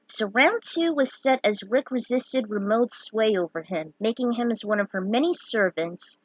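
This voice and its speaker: A woman's voice: mid-range voice, a bit bright, dry recording, low-quality recording. A woman speaks in a casual, opinionated way, like an amateur author commenting on her own writing. She is blunt and unpolished, taking her time a little, in a very dry recording with no room sound. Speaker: fan fiction author reading and commenting on own story. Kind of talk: casual author's commentary with some story reading.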